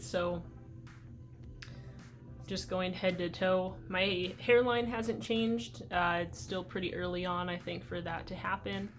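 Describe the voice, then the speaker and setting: A young man talking casually in a video diary update, chatty and relaxed, with many "like" and "um" fillers. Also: high-pitched. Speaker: vlogger.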